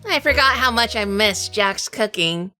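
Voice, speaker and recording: A woman playing a wry, tough female sleuth in a hardboiled noir audio drama, telling it in measured, dramatic fashion. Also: mid-range voice, animated, pushed and bright, faint music behind. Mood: wry, weary. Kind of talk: first-person noir audio drama narration.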